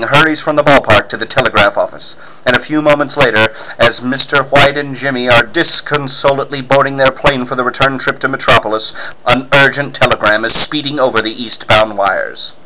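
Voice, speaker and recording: A man's voice: mid-range voice, rough recording. A man reading scripted narration as a dramatic 1940s-style radio serial announcer.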